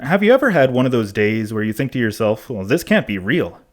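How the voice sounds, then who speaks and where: A pensive man played by an actor hosting a podcast in an audio drama. His voice sits at a middling pitch as he rambles conversationally through a scripted monologue. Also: very animated, a little fast, lightly acted. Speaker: podcast host.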